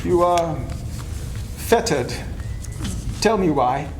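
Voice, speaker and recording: A man's voice: expressive, drawn-out words, heavily acted. A man playing a cantankerous, miserly old man in a dramatic stage reading, curt, scornful and emphatic. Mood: sceptical. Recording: echoey room, background noise and rumble.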